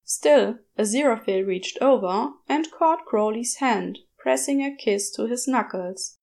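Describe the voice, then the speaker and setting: A woman's voice: fairly high pitch, lively intonation, unhurried, creaky, a little mellow. A woman reading a story aloud as scripted narration. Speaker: podfic reader.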